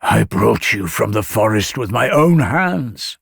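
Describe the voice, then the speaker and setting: A man's voice: on the low side, sing-song, slow pace, creaky, fairly bright tone, breathy edge, dry recording, studio quality, heavily acted. A man voicing a doomed, wild-born hero of myth, brooding and bitter, in an intense, sorrowful manner. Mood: bitter regret.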